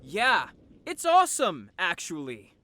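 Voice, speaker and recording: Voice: medium pitch, wildly swooping pitch, slow pace, pushed and bright, exaggerated voice. An actor playing a brash, cocky teenage rule-breaker in an audio drama, with slangy, sarcastic teasing. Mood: mocking.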